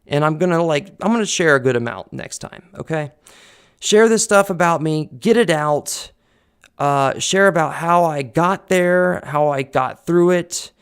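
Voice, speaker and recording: Earnest man with a gravelly voice. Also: fairly high pitch, unhurried. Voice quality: loud voice. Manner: rambling, earnest, many fillers and restarts. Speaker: vlogger. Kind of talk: casual personal talk to camera. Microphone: desk condenser mic on a boom arm.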